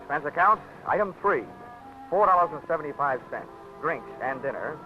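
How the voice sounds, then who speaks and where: An actor in hard-boiled 1940s radio style, playing a cocky, wisecracking insurance investigator who also narrates, with a breezy delivery. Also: male voice, a little high, animated, normal speed, soft background music, lightly acted. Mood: narrating. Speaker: narrator and lead. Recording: dull old radio broadcast with strong hiss.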